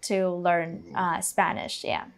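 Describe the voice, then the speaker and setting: A woman's voice: on the low side, little pitch movement, slow pace. A woman in her twenties, a non-native English speaker, chatting casually as an interview guest and explaining things informally. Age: 20s. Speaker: guest, English teaching video creator.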